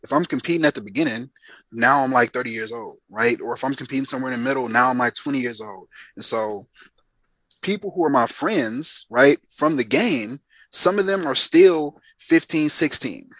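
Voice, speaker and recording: A man's voice: medium pitch, animated, normal speed, fairly bright tone, no room sound, low-quality recording. A man in his thirties, a podcast guest, telling a story in emphatic casual chat and repeating lines for effect. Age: 30s.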